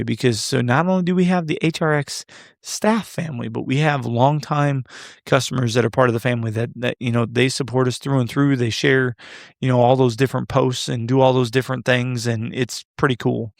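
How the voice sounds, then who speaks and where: Gravelly-voiced man hosting a podcast. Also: mid-range voice, no room sound, clean studio sound. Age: 30s. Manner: casual, joking, answers live chat comments. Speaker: podcast host. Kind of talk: solo live podcast chat.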